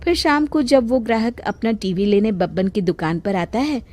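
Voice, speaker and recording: A woman's voice: medium pitch, normal speed, warm tone. A woman storyteller narrating a scripted tale for children.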